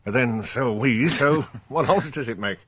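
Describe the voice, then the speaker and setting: An actor playing a wry, world-weary French police inspector in a naturalistic radio drama, sardonic and colloquial. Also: male voice, mid-range voice, normal speed, a bit bright, close to an everyday voice.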